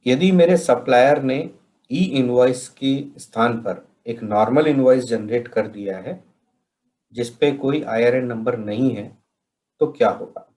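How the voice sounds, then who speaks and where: A man from India presenting a question-and-answer tutorial in a deliberate way, pausing between phrases. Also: medium pitch, a little mellow. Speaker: presenter of a software training session.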